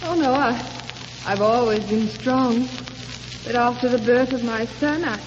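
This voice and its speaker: Frail, hesitant wife played by a woman, high-voiced and very slow, gentle, on hissy vintage radio. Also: close to an everyday voice. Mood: timid, hesitant. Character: frail, timid wheelchair-bound wife. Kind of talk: 1930s radio drama acting. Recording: muffled, hissy 1939 radio recording.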